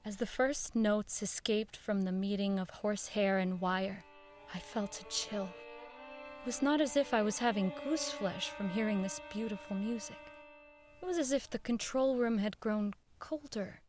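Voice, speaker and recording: A woman playing an uneasy radio producer who recalls eerie events in measured, dramatic audio drama narration. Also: mid-range voice, darkish tone, close to an everyday voice. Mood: dread. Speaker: narrator and radio show director. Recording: violin music behind the voice.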